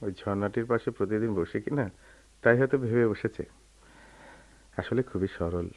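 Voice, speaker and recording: An actor playing a proud, brooding, quick-tempered young gentleman in a theatrical radio play. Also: fairly low pitch, lightly acted. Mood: curious. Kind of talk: Bengali radio play acting. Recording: dull, noisy old radio recording.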